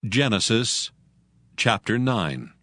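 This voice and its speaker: A man narrating scripture from a script in a measured, solemn way. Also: on the low side, big pitch swings, a bit bright. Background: music.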